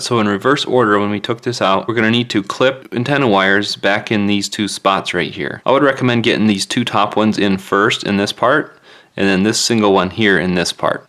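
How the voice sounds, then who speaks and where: A man with a fairly low voice talks through a hands-on repair tutorial. He explains each step in plain, informal language, as if guiding someone along while he works. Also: fairly bright tone. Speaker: phone repair tutorial presenter. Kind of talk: hands-on step-by-step instructional narration.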